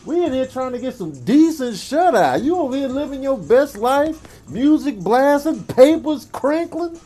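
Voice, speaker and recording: A man voicing a grumpy, sleep-deprived pet dog in a comic animal voiceover, griping loudly in exclamatory slang. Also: very high voice, big pitch swings, unhurried, soft background music, full character voice. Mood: exasperated.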